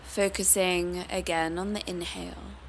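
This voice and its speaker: A woman teaching yoga meditation, guiding the listener in a calm, soothing, unhurried manner. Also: on the low side, slight background noise, low-quality recording. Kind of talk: guided meditation.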